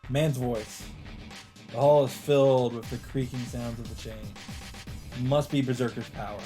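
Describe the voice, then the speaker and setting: A man doing a let's play, reading a visual novel aloud as dramatic narration in halting phrases, with some off-the-cuff reactions. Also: medium pitch, steady tone, warm tone. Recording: music behind the voice. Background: game soundtrack music.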